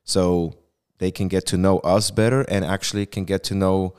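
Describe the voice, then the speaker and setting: A man with a Swiss accent, talking through ideas in an earnest, measured way as a church planter in a casual podcast chat. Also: deep voice, steady tone, a little mellow, smooth.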